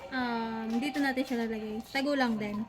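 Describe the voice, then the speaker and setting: A woman's voice: on the high side, fairly even intonation, normal speed. A woman with a Filipino accent casually explaining a hands-on installation step by step. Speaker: intercom installer demonstrating the job. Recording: music playing behind.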